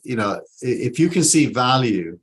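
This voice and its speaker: A man's voice: medium pitch, animated, moderate pace. A man with a Yorkshire accent talking reflectively on a panel, often repeating how he starts a sentence. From Yorkshire, England. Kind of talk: casual panel discussion.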